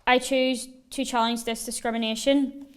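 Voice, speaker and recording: A young woman from Northern Ireland reading a prepared rally speech at a measured, deliberate pace. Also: fairly high pitch, flat delivery, smooth. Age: young adult. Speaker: rally speaker. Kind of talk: prepared speech read aloud. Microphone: video call.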